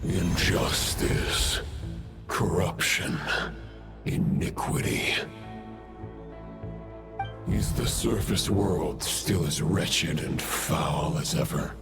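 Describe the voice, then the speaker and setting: A vast, ancient wolf spirit speaks in a very low, gravelly voice, dragging each word out extremely slowly. It is a video game scene with a music score under it. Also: male voice, heavily acted. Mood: judging. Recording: game audio over music.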